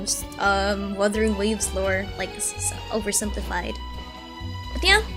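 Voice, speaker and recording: A woman in her early twenties chatting casually on a gaming stream, excitable and gushing, rambling as she goes. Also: mid-range voice. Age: early 20s. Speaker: streamer and video essay creator. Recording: music behind the voice. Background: game music.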